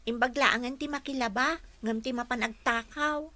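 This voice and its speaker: A woman plays a poor schoolgirl, dutiful and eager to help, in an audio drama. Her voice is high and bright, with an Ilocano accent. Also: steady tone, moderate pace, dry recording. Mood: plaintive. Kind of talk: Ilocano radio-style drama acting.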